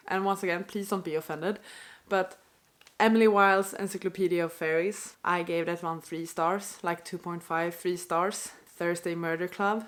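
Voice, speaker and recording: A young woman with a stuffy nose from a cold, chatting casually to camera in an unscripted rant, apologetic and full of "like" fillers. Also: mid-range voice. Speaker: book vlogger.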